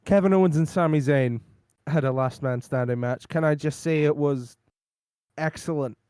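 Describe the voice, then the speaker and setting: A man co-hosting a podcast and chatting casually, rambling with lots of ums, his voice going hoarse. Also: on the high side, moderate pace, darkish tone, no room sound, studio quality. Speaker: podcast co-host. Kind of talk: casual wrestling recap chat.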